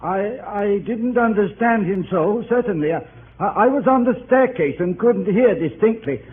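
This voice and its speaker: An actor playing a flustered gentleman witness in a 1930s radio drama, hesitant and stammering. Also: high voice, lively intonation, normal speed. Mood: nervous, hesitant. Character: nervous, flustered gentleman witness, reluctant to answer. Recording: tinny, hissy 1930s radio broadcast.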